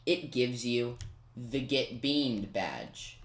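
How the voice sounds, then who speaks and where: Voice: mid-range voice, expressive, drawn-out words. Casual gameplay guide narrator, mid-range voice, speaks straight to the viewer, echoey room sound. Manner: informal, talks directly to the viewer. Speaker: video game commentator.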